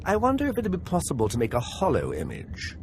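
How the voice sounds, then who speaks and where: Game role: chatty scholar-lieutenant, lightly high pitch, swooping intonation, keen and courteous. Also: male voice, huge pitch swings, fast talker, background noise. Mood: eager, musing. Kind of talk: video game voice acting.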